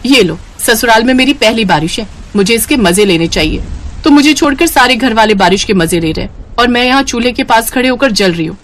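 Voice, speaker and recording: A woman's voice: fairly high pitch, animated, brisk, slight background noise, lightly acted. A woman as a grumbling young bride. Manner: exasperated, self-mocking asides. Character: young new bride, put-upon, grumbling.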